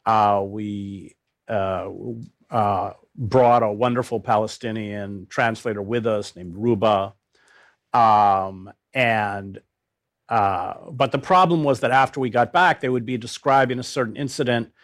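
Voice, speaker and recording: An older man with a fairly low-pitched voice talks as a seasoned journalist being interviewed. His delivery is grave and deliberate. He often halts and restarts a phrase as he recounts his reporting.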